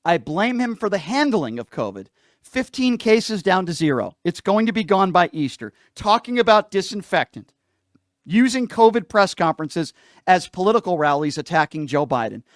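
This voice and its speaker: A man's voice: high-pitched, big pitch swings, moderate pace, no room sound, clean studio sound. A man hosting a live call-in show, debating politics in an argumentative, insistent way and repeating phrases for emphasis.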